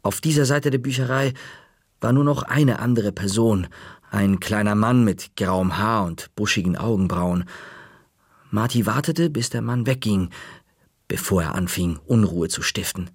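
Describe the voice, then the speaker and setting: A man narrating an audiobook in a measured, even storytelling manner, recorded in a studio. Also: fairly low pitch, slightly rough, no room sound.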